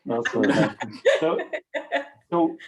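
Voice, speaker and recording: A man with a Northern Irish accent sharing informally on a video call, halting and repeating his words as he goes. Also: mid-range voice, expressive, a crowd in the background. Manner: halting, repeating words, tagging phrases with everyday sayings. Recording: muffled video call audio.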